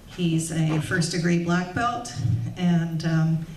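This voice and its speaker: Low-voiced woman introducing a speaker. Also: level tone, slow, recorded from a distance. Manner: soft-spoken, with frequent "um" and "uh". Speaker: organization leader introducing the speaker. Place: meeting room with an audience. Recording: echoey room recorded from afar with faint hiss.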